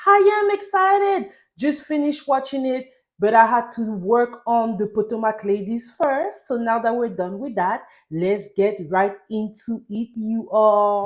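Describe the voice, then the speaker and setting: A woman from Paris with a French accent, speaking English as a second language, gives a casual, chatty and enthusiastic recap as a video host. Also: on the high side. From Paris, France. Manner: chatty, enthusiastic fan talk. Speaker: YouTube host reviewing reality TV.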